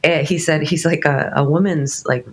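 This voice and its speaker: A woman's voice: low-pitched, steady tone, moderate pace. Worship leader, late 30s woman, has a cold; loose, rambling conversational delivery. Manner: rambling, many restarts and fillers. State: fighting a cold. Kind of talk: casual conversation.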